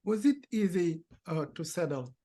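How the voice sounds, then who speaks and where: A man conducting a conversational interview as the interviewer, speaking in halting, deliberate phrases. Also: on the high side, very animated, warm tone.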